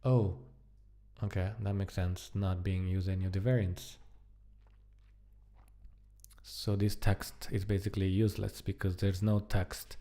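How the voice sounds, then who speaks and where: A man giving casual live-coding commentary, thinking aloud in a halting, muttering way as he works. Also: deep voice, level tone, warm tone. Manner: halting, muttering to himself while working. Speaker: programmer live-streaming a coding project.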